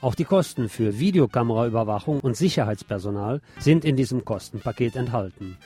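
A man with a medium-pitched voice reads a scripted news report as a radio presenter. He slips in short personal asides between items. Music with instruments plays behind him. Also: expressive, brisk, mellow, husky.

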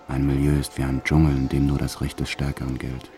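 A very deep, gravelly gangster. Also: male voice, completely flat delivery, a little fast, dark tone, faint music behind. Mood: detached, proud. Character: brutal, cold, proud male French gangster telling his life. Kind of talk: first-person radio play narration.

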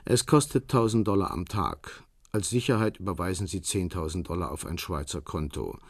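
A shady middle-aged Russian ex-soldier in a crime radio play. He speaks very low and husky, in clipped, guarded, businesslike phrases, close and dry. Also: normal speed, no room sound. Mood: cool, menacing. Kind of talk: radio drama acting.

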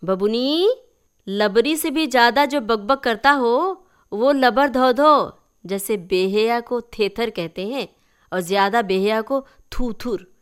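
Woman voicing a chatty, higher-pitched young village girl. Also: slow, smooth. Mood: chattering. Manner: chatty, explaining village sayings. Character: talkative, giggly young village servant's daughter, proud of her name. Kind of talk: acted dialogue in a story reading.

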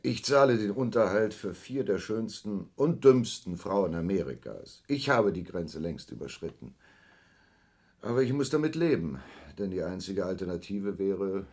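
A hard-bitten schemer in a radio drama, justifying himself in a very deep voice. He phrases deliberately, leaning hard on key words. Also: expressive, darkish tone. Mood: grimly resolute. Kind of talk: 1980s German radio play acting.

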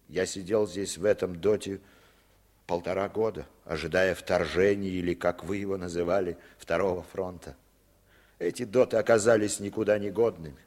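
A man's voice: low-pitched, darkish tone, heavily acted. An actor playing a weary former German legionnaire who tells his story reflectively and bitterly in a 1950s radio drama.

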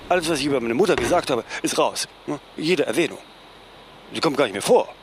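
Actor as a fast, animated, imperious philosopher. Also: male voice, mid-range voice, a little hiss or hum, close to an everyday voice. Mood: reproachful. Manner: imperious, reproachful, verbal tags like "ne?". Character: vain, cantankerous celebrity philosopher, prickly about his mother. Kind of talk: German radio play dialogue.

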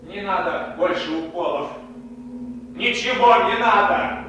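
Echoing, high-voiced dying man. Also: a little slow, noisy background. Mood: pleading. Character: dying man, desperate, pleading for water. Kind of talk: Soviet radio drama acting. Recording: very echoey, distant, music underneath.

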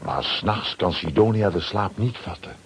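A man's voice: sits low, normal speed, vocal fry, breathy edge. A man narrating a children's audio drama as a scripted storyteller.

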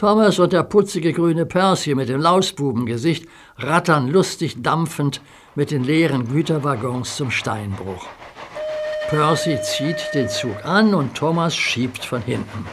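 Slow, calm male storybook narrator. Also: fairly high pitch. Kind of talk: scripted children's story narration. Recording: hissy audio play recording.

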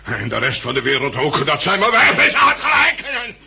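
An actor playing a drunken, despairing blind pub landlord who rambles in coarse, slurred, bitter speech in a radio drama. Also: male voice, on the high side, huge pitch swings, brisk, gravelly, bright, forceful tone, slightly rough, exaggerated voice. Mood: despairing, anguished. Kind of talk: 1960s radio drama acting. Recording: old 1960s radio recording, faint hiss.

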